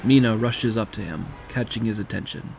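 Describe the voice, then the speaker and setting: A man reads scripted story narration for an audio drama. His voice sits fairly low with plenty of creak, over a faint bed of background noise. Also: normal speed, a little mellow.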